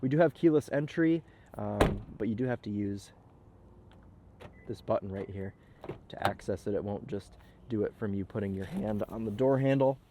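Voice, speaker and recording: A man's voice: fairly low pitch, normal speed, very noisy. A young man with a slightly nasal, stuffy voice from a cold, chatting upbeat through a car walkaround outdoors and inside the car. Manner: upbeat, chatty, filler-laden. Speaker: car reviewer. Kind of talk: casual walkaround car review. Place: outdoors in a parking lot, then inside a car.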